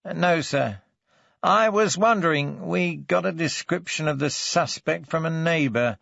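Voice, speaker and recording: A man's voice: a little high, measured pace, vocal fry, fairly bright tone, no room sound, lo-fi sound, pitched above the performer's own voice, exaggerated voice. A man voicing a dutiful police sergeant in an audiobook, reporting formally and deferentially. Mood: grave, deferential.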